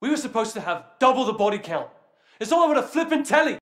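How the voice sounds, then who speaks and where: A man's voice: very high-pitched, sing-song, measured pace, reverberant, full character voice. A male actor playing a doubting, frustrated militant in a video game.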